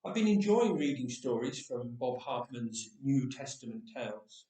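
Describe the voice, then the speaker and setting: A man's voice: medium pitch, very animated, moderate pace, dark tone. A man who reads stories aloud, speaking as himself to introduce a reading. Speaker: story reader. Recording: echoey room, distant microphone.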